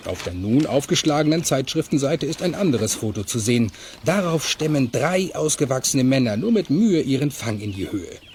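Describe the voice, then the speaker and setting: A man's voice: medium pitch, very animated, normal speed, few pauses, darkish tone, a little hiss or hum. A man narrating a scripted children's radio play as its storyteller.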